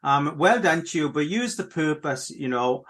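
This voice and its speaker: A man's voice: fairly high pitch, lively intonation, moderate pace, fairly bright tone. A middle-aged man hosting a radio podcast, chatting casually and repeatedly addressing his listeners. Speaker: podcast host.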